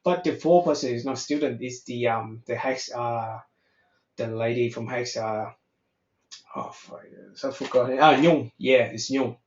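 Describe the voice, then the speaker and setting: A man's voice: medium pitch, a little slow. Vietnamese man with mixed accent, roomy lo-fi. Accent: Vietnamese, mixed American and Australian. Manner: frank and loose, swears casually, thinks aloud with restarts. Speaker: English conversation tutor. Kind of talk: casual online chat.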